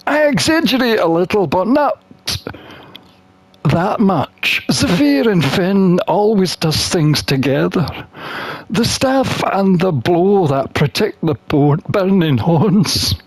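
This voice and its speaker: An unhurried gamer reads a visual novel aloud with lively, swinging intonation. The sound is dry and close. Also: medium pitch, dry recording, poor audio. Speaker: gamer playing and narrating. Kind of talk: reading a visual novel aloud, voicing characters.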